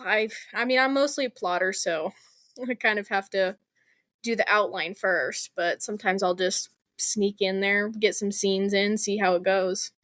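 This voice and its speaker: A woman's voice: medium pitch, a little slow, fairly bright tone, dry recording. A woman speaking as a guest author in a casual interview, chatty and informal, with plenty of "like" and "you know".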